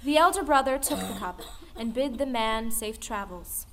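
A woman's voice: a little high, expressive, normal speed, a bit bright, a little hiss or hum. A woman reading a scripted folktale as a dramatic storyteller. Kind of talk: scripted folktale narration in an audioplay. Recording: music under the voice. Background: music.

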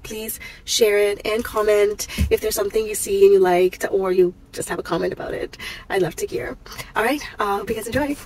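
A woman's voice: medium pitch, darkish tone, a little hiss or hum. Chatty woman with a sick voice. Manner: chatty, rambling with fillers. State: sick, voice strained. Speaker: vlogger. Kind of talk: casual thrift vlog. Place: parked car, daytime. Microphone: phone at arm's length.

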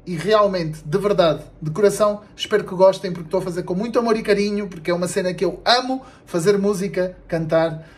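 Young Portuguese man, blunt and animated. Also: sits high, brisk, slightly rough. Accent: European Portuguese. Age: young adult. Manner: animated, slangy and blunt, with swearing and repetition for emphasis. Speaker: video creator and musician. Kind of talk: casual vlog announcement to camera. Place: small room indoors at home.

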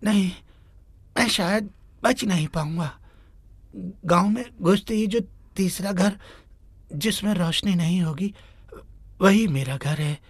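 A woman voicing a devoted, gentle young village son in an animated moral story. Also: fairly low pitch, slow pace, pauses often, breathy edge, dry recording. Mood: weak, pleading. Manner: earnest, gentle.

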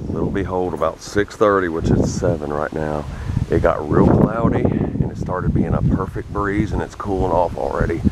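A middle-aged man giving casual, excitable commentary while fishing a creek bank, recorded outdoors on a wearable action camera. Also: low-pitched. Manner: excitable, bursts of exclamations when a fish bites. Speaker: fishing vlogger. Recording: loud wind and water noise on a camera mic. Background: wind and rushing water.

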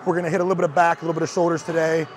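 A man's voice: on the high side, flat delivery, very fast. A young man hosting a casual fitness vlog, upbeat and chatty, on a lapel mic in a large indoor gym. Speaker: fitness vlogger. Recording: crowded gym noise behind.

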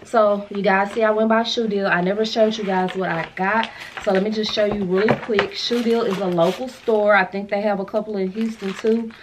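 An American woman in her thirties chatting casually to camera in a sassy, slangy, animated way, as a vlogger showing a haul. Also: medium pitch, brisk, hardly any pauses, noisy background. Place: furnished room at home, indoors.

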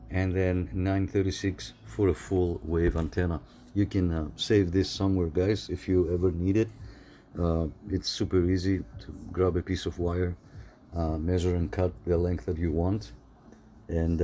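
A man with a very low, mellow voice narrates his own radio hobby video. He explains step by step in a relaxed, casual way, often pausing on "uh". Faint noise sits under his voice. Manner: relaxed, explanatory, frequent "uh" fillers.

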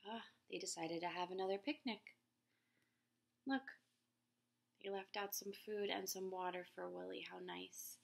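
A woman in her thirties reading a picture book aloud to children in a gentle, expressive teacher voice, recorded on a laptop webcam in a living room. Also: unhurried, creaky, long pauses. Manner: gentle, expressive teacher voice, raises pitch for quoted characters. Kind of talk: children's read-aloud with questions to viewers. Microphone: laptop webcam at close range.

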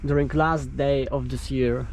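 A young man whose first language is Polish, a truck driver vlogging casually outdoors at parking spots. He talks in a halting way with many fillers. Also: medium pitch, steady tone, darkish tone, low-quality recording. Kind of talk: casual vlog talk to camera. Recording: outdoor vlog audio with road noise.

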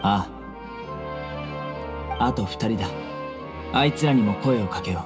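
A man playing a stern, laconic young law enforcer with a deadpan, terse delivery in an anime game. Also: on the low side, a little slow, over loud music, close to an everyday voice. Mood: composed, serious.

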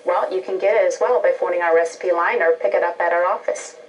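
A woman's voice: lowish voice, fast talker. Woman giving tips, slightly distant mic. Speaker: turkey producers' spokesperson. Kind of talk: informational TV presentation. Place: indoor kitchen TV set. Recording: distant mic, old TV broadcast tape.

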